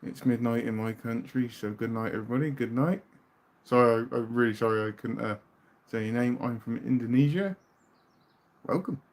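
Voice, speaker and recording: A British man chats loosely with viewers from a room at home, answering questions as they come in. His talk is relaxed and rambling, and his voice often sinks to a low mumble as a thought winds down. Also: normal speed. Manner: relaxed, chatty, trails off into quiet mumbles. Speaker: livestream host. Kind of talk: casual live chat reading viewer comments.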